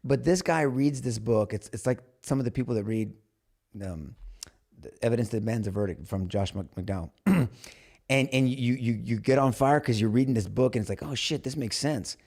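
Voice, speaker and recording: A man's voice: medium pitch, normal speed, creaky, a little mellow. A man hosting a podcast in casual, blunt chat, swearing freely and restarting his sentences often. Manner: blunt, profane, lots of restarts. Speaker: podcast host.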